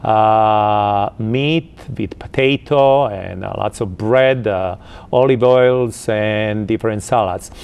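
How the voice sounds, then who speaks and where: Croatian-accented guest in his fifties, low and gravelly, hesitant answers. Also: darkish tone. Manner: halting, with many uh fillers. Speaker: interview guest. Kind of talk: casual interview answers.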